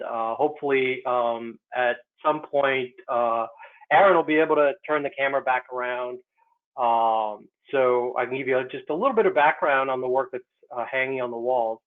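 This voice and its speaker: A man, an artist, speaking in a casual online talk, hesitant and full of fillers. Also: mid-range voice. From United States. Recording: tinny phone-like line.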